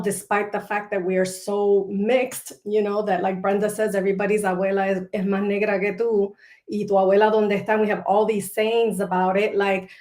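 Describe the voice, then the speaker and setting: A woman host with a mid-pitched voice who speaks thoughtfully and sometimes pauses to find her words. Her voice carries a roomy echo. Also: a little mellow. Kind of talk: discussion.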